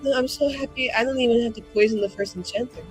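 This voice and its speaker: A woman's voice: on the high side, quick pace, soft background music, rough recording. A playful, exclamatory woman who swears freely, chatting casually and improvising as a player in a tabletop roleplaying game.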